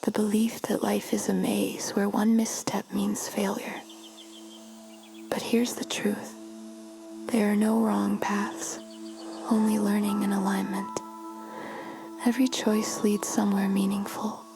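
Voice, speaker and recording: A woman in a whisper, guiding a meditation in a slow, soothing way. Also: medium pitch, creaky. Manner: slow, soothing, flat delivery. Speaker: meditation guide. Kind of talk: guided meditation. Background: music.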